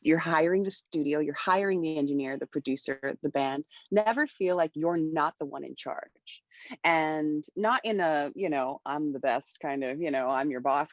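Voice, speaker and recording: A woman's voice: low voice, no room sound, lo-fi sound. A woman in her thirties giving mentoring advice on a video call, talking with energy and many hand gestures. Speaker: music mentor. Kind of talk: panel talk on recording. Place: home room with bright wall. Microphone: wireless earbuds on video call.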